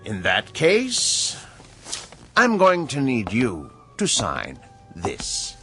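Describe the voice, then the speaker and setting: A man's voice: medium pitch, wildly swooping pitch, drawn-out words, bright, forceful tone, soft background music, full character voice. A man acting in a radio drama as a mysterious, unflappable agent of balance, formal and politely ominous. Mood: coolly polite. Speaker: actor.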